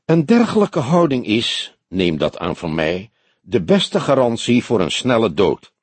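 An unruffled older police detective from a crime audiobook, acted out by a male reader. His delivery is laconic and deliberate, with dry sarcasm and lively swings in pitch. The voice is slightly husky and recorded dry. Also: no room sound. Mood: hesitant, warning. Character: grey-haired veteran police detective, unruffled, dryly sarcastic. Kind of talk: Dutch audiobook character dialogue.

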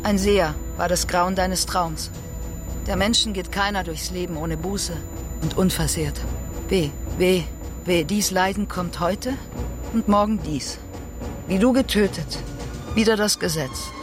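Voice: medium pitch, measured pace, music in the background, natural voice. An actor playing a doomed tragic mother in a radio drama, pleading with and cursing their child in solemn, archaic verse. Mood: warning, lamenting.

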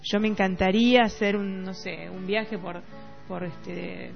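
Female radio host, middle-aged, Rioplatense accent, reflective and halting, with music playing behind a muffled recording. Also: mid-range voice, steady tone, vocal fry. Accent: Rioplatense Argentine Spanish. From Argentina. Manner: halting, searching for words. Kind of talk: casual reflective radio discussion.

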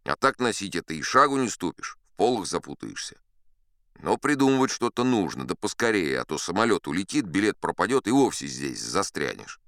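A man's voice: low-pitched, very animated, brisk, a bit bright, no room sound. A man narrating an audiobook in the first person, chatty and wry, as if talking to listeners. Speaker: audiobook reader. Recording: dry close studio.

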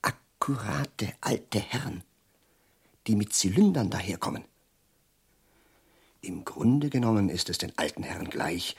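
Bitter learned russian prof, low husky voice, dramatic and declamatory, radio play. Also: male voice. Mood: sardonic, foreboding. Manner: declamatory, sardonic, lingering on words with sudden hushes. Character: bitter, doom-prophesying learned man, sardonic Russian professor. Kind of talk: theatrical German radio drama acting.

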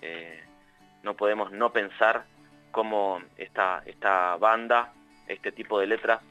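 A man with an Argentine accent giving a radio column over the phone, talking haltingly with many restarts. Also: on the low side, pushed and bright, lo-fi sound. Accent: Argentine Spanish. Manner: hesitant, many restarts and fillers. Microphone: phone line.

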